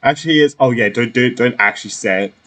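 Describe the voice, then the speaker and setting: A man's voice: mid-range voice, fast talker, fairly bright tone. A man giving casual gameplay commentary, dropping short reactions as he plays. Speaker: gamer playing through a story quest.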